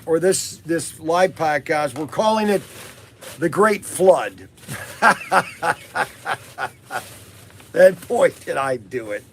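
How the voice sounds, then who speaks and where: A man hosting a casual live podcast, hoarse from losing his voice, rambling through a story with many fillers and restarts. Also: a little high, fairly bright tone, a little hiss or hum. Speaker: host of a toy business live podcast.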